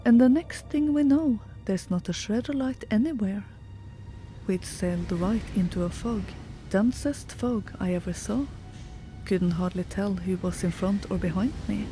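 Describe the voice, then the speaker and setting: A man's voice: high voice, warm tone. A woman voicing a young fisherman from Cork telling of surviving a storm at sea.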